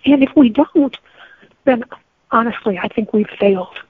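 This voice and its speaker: A woman's voice: fairly high pitch, moderate pace, husky, dry recording. A woman being interviewed as a guest author, speaking conversationally in an earnest, opinionated way. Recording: thin, tinny phone-like line.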